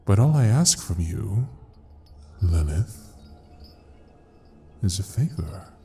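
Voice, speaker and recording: Male actor as menacing gentleman devil, slow delivery, dark velvety tone, teasing courtesy, audio roleplay. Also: sits low, very animated, soft background music, heavily acted. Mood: sly, insinuating. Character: suave, menacing male devil ruling a frozen hell. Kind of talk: ASMR audio roleplay acting.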